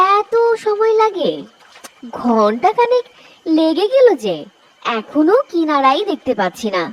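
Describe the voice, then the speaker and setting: A woman's voice: high-pitched, full character voice, low-quality recording. An actress voicing a bossy middle-aged mother in a cartoon drama, with a reproachful manner.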